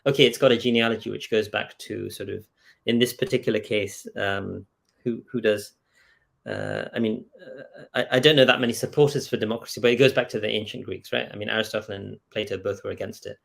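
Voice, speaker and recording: A man speaking as a scholar in an earnest intellectual debate, insistent, pausing mid-thought and often checking for agreement. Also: fairly low pitch, steady tone, gravelly. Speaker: scholar and author in discussion. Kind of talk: earnest intellectual debate over video call. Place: video call.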